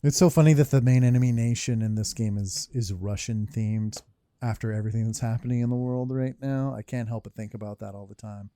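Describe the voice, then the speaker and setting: A man's voice: medium pitch, steady tone, fairly quick, warm tone, no room sound. A man giving casual, muttered commentary as he plays through a video game. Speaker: gamer, playthrough host. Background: faint game music.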